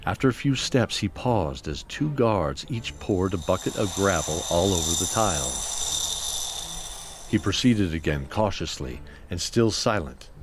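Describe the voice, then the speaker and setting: A man's voice: sits low, lively intonation, a little slow, noisy background. A man giving scripted narration of a fantasy story as its narrator.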